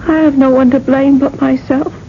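A woman acting in a radio drama as a quiet, heartbroken young wife, halting and weary. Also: sits high, flat delivery. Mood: heartbroken, faint. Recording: tinny, noisy old radio recording.

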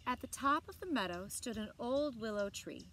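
A woman in her thirties reading a children's picture book aloud expressively, with deliberate phrasing and dramatic emphasis. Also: fairly high pitch, animated, fairly bright tone. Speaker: library story time reader. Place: outdoors on a lawn by trees. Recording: outdoor background noise with birdsong. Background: outdoor ambience, birds.

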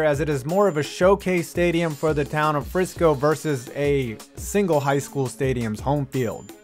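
Higher-voiced American man narrating a countdown. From United States. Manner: wry, sarcastic asides. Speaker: video host, narrator. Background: music.

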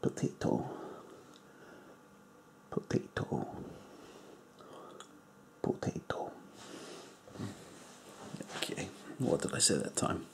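A man voicing an eccentric, crude foreign male doctor in a deadpan whisper. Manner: whispery, slow, deadpan joking. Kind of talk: comedic ASMR roleplay.

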